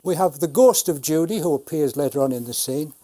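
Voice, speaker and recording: A man's voice: fairly high pitch, a little fast, warm tone. A man in his seventies, a retired puppeteer, giving an explanatory talk about his craft. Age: 70s. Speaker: retired Punch and Judy puppeteer.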